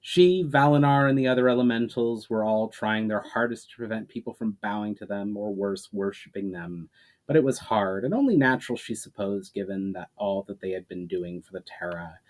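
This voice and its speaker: A man's voice: lowish voice, normal speed, a little mellow. A man speaking as an author who presents and reads his own fantasy novel aloud in a storytelling style. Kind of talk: dramatic reading of a fantasy novel, with informal introduction.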